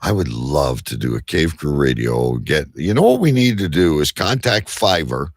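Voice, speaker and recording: A man's voice: extremely low pitch, big pitch swings, normal speed, no echo, clean studio sound. A man hosting a casual panel chat, bantering in a blunt, teasing way. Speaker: show host.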